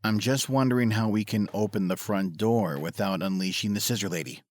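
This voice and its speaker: A man's voice: lowish voice, fairly quick, dry recording, studio quality, natural voice. A man playing a fussy, cautious investigator in an audio drama. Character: fussy, cautious older-than-his-friend male investigator.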